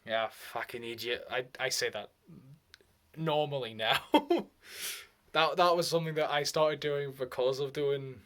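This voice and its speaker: A man's voice: mid-range voice, unhurried, a bit bright. A man talking to camera in a loose, meandering way. His voice sits in an ordinary middle register, but it keeps sliding into put-on accents and odd character voices. He laughs often as he goes. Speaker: vlogger. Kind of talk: rambling solo vlog.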